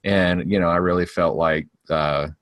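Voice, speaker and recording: A man's voice: sits low, fairly even intonation, moderate pace, fairly bright tone, no echo, clean studio sound. A man in his forties co-hosting a podcast, chatting casually, rambling with many restarts and laughing often. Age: 40s. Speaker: podcast co-host. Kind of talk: casual chat.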